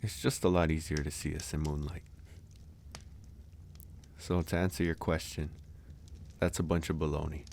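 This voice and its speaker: A man playing a calm, candid, easygoing werewolf in an intimate ASMR audio roleplay, musing unhurriedly with sighs and pauses. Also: a bass voice, gravelly, a little hiss or hum. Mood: musing, storytelling.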